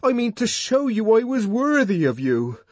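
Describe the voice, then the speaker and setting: A man acting an ardent, high-strung young lover. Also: expressive, a little mellow, slightly rough, no echo, full character voice. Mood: agitated, earnest. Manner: fervent, declamatory, halting. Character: ardent, anguished, reverent young man in love.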